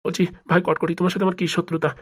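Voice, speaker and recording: A shifty village lawyer from a comic cartoon, acted by a woman. His voice is fairly low and extremely hurried. He protests and pleads deferentially, always on the defensive. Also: little pitch movement, close to an everyday voice. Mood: defensive, pleading.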